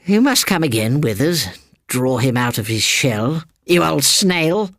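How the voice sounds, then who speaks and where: A man's voice: fairly high pitch, sing-song, unhurried, a bit bright, no room sound, clean studio sound, pitched above the performer's own voice, exaggerated voice. A man voicing a disquieting old woman, a mock-hospitable, needling aunt with a British accent, in a story reading. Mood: arch, needling.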